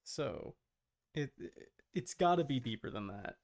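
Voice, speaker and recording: A man's voice: mid-range voice, lively intonation, a little slow, creaky. A man chatting casually as a streamer, reacting to a video. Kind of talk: casual livestream chat.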